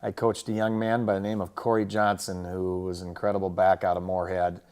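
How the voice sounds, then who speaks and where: A man with an American accent, a football coach talking casually through a game recap in a plainspoken, halting way. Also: low voice, little pitch movement, a little mellow, clear, resonant tone. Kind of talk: casual sports recap and highlight commentary.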